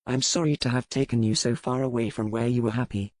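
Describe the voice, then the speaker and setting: A woman voicing a formal, resigned male pirate captain in an audiobook, speaking with measured gravity. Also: extremely low pitch, darkish tone, no room sound, pitched below the performer's own voice. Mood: regretful, resigned. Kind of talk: dramatic audiobook character reading.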